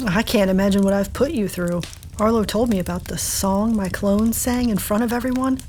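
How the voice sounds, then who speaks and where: A woman's voice: mid-range voice, normal speed, a little mellow, slight background noise, close to an everyday voice. A woman playing an earnest, reflective woman searching for answers in an audio drama. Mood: wry, honest.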